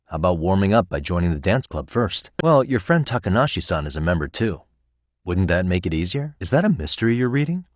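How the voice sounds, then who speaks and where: A casual, wry detective hero from a video game. His voice is low and swings widely in pitch as he talks in an easy, conversational, encouraging way, recorded very dry and close. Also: big pitch swings, brisk, no room sound. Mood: earnest, gentle. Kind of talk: English game dub voice acting.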